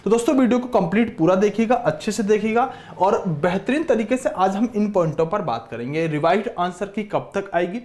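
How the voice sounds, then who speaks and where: A man's voice: high voice, lively intonation, a little fast, a little mellow. A man speaking as an exam coaching teacher, explaining informally and emphatically, with repetition and frequent direct address to students.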